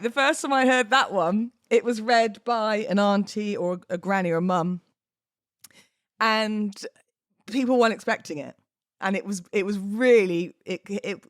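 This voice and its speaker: A British woman hosting a podcast, moving between informal talk and expressive readings of verse. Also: mid-range voice, no echo, studio quality. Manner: chatty, informal, giggly. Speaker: podcast host. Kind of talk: casual podcast chat with dramatic poetry readings.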